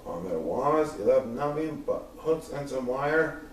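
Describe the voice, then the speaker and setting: A man's voice: mid-range voice, expressive, a little slow. Man reading a storybook in an echoey room. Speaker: storybook reader. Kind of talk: expressive read-aloud children's story narration in rhyme. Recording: very echoey room, distant mic, faint noise.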